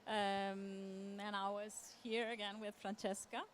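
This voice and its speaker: A woman giving a semi-improvised conference presentation as a literary translator, halting and often repeating words as she searches for phrasing. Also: medium pitch, level tone. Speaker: literary translator, panel speaker. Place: live talk at a book fair event. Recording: clear background noise.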